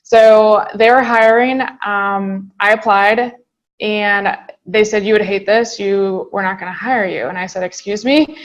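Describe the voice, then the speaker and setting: A woman being interviewed on a podcast, telling her story in a brisk, chatty way. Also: medium pitch, steady tone, lo-fi sound. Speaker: guest real estate agent.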